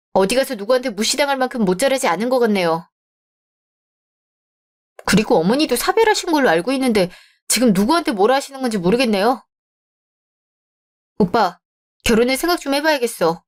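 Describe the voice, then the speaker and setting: A woman voicing a composed young bride-to-be in a radio drama, speaking calmly and firmly. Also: on the high side, natural voice. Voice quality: calm, firm.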